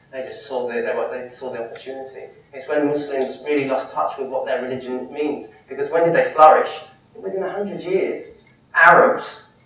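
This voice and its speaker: A man in his thirties from Kent with a southern English accent, answering audience questions after a talk in a lively, conversational way. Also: mid-range voice. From England, Kent. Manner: animated, gestures a lot, restarts phrases. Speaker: Islamic speaker answering questions. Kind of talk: lecture Q&A, conversational. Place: lecture room, indoors. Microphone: far camera mic. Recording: echoey room from far off.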